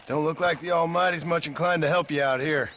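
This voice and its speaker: An actor playing a polite, wry cowboy drifter in a video game, with an American accent and laconic delivery. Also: male voice, fairly high pitch, fairly even intonation, brisk, a bit bright, a little hiss or hum, natural voice. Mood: wry concern. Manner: laconic, courteous, dry. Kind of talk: video game dramatic acting.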